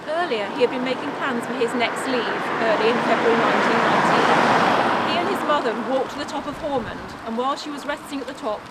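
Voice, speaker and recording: Woman tour presenter reading a script outside, pretty clear traffic and street noise behind her. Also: fairly high pitch, fast talker. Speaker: presenter of a local history tour. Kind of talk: scripted narration. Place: outdoors in town streets. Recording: outdoor location sound with clear traffic noise.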